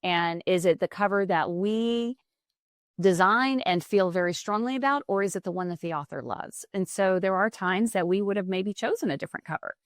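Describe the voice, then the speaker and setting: A woman who runs a publishing company, talking as a podcast guest in a quick, animated way with frequent restarts. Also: mid-range voice, no echo, clean studio sound. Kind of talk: casual podcast conversation.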